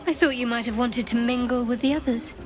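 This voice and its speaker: An actress playing a guarded, wry young cleric in a video game, speaking with a dry, measured manner. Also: female voice, on the high side, fairly even intonation, music in the background, natural voice. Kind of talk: video game character dialogue.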